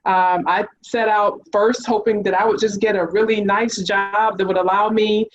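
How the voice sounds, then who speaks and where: A woman's voice: mid-range voice, flat delivery, moderate pace. A woman from Mississippi, an executive on a panel, speaking reflectively and earnestly. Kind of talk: panel discussion. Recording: slightly dull, lo-fi sound.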